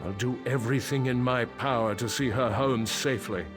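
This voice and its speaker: A man's voice: medium pitch, normal speed, over music, natural voice. An actor voicing a formal, dignified councilor and worried father in a fantasy video game. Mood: formal, resolute. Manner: formal, grave, stiff. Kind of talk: video game character dialogue.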